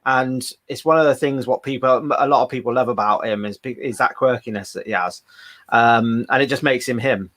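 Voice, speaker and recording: A man's voice: mid-range voice, normal speed, fairly bright tone, no echo. Chatty British man hosting a stream. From Britain. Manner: chatty, many fillers, reads out viewer comments. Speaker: host. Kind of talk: casual live-stream chat. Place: home in Wales, video call.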